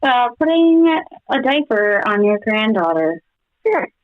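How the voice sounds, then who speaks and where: A dull, lo-fi call carries the voice of a young woman, quite high in pitch. She speaks casually, as a caller chatting with family on a live show. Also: big pitch swings, measured pace.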